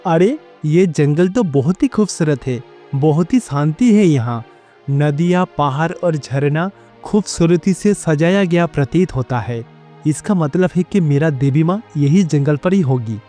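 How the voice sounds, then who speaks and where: Man voicing loyal bull attendant, soft dark tone, devoted, storybook dialogue, faint music. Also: on the high side, expressive, measured pace. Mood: wonder, relief.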